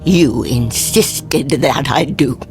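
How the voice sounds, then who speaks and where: Very slow, ominous fortune teller. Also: female voice, a bass voice, darkish tone, faint music behind. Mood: grave, ominous. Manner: slow, formal, mystical pronouncements. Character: solemn female fortune teller, cryptic and ominous. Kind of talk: radio drama acting.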